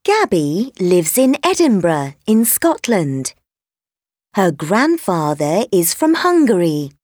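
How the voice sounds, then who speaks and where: A woman reads textbook passages in a clear, deliberate way for learners. Her pitch moves widely and expressively while her pace stays slow. The sound is dry and close, with no echo. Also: big pitch swings, a little mellow. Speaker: textbook audio reader. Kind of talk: slow scripted reading for learners.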